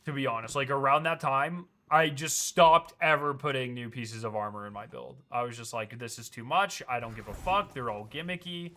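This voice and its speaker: A man's voice: medium pitch, pushed and bright, a little hiss or hum. A man in his late twenties chatting casually on a live stream, rambling and swearing as he reads and answers chat. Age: late 20s.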